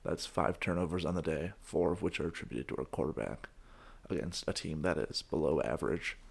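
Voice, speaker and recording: A young man with a very low, gravelly voice that is hoarse from shouting the day before. He chats casually and goofily as a podcast host, rambling and restarting his sentences. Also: a little fast. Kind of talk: casual chat.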